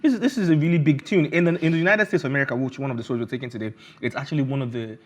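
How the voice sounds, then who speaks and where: A Nigerian man hosts an entertainment talk show at a rapid clip. His mid-range voice is animated and jokey, and he repeats phrases for emphasis in a casual, chatty style. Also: mid-range voice.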